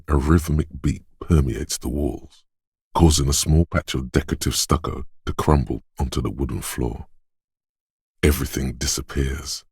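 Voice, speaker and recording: A man narrating a video game as a grave inner voice of the senses, measured, deliberate and ominous. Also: extremely low pitch, a little mellow, husky, no echo, studio quality, exaggerated voice. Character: solemn male inner voice of heightened senses, ominous and watchful. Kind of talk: atmospheric second-person scripted narration.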